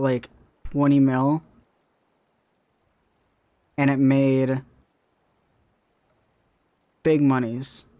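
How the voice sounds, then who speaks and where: Slow-talking young man musing. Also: mid-range voice, a little mellow. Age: young adult. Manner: rambling, repeats himself, hedges with "right?". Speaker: content creator. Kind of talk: casual chat.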